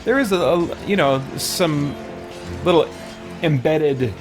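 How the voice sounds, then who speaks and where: A man's voice: a little high, slow. Rambling male game streamer, music behind. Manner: rambling, thinking aloud. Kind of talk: casual let's play commentary.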